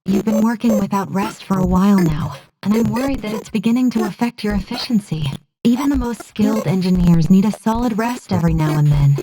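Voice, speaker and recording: An actress voicing a loyal, selfless female android crew officer in a video game, speaking in a measured, formal and clinical way. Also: mid-range voice, crowd noise, natural voice, poor audio. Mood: composed, gently attentive.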